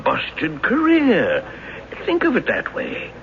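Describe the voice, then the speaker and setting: A man's voice: fairly high pitch, huge pitch swings, slow, vocal fry, full character voice. An actor in a radio drama playing a genial, folksy old retired bank man who coaxes like a crafty confessed robber. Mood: coaxing, persuasive. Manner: folksy, coaxing. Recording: dull, noisy old radio broadcast.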